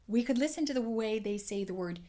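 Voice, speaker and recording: A woman dialect coach teaching an accent lesson, explaining clearly and demonstrating sounds. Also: on the high side, lively intonation, brisk, warm tone.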